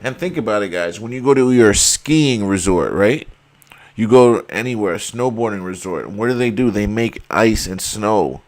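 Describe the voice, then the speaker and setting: A man's voice: fairly low pitch, lively intonation, normal speed, a little mellow. A man talking casually and emphatically to his viewers, saying he is losing his voice. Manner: emphatic, argumentative, addresses viewers as guys. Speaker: video host.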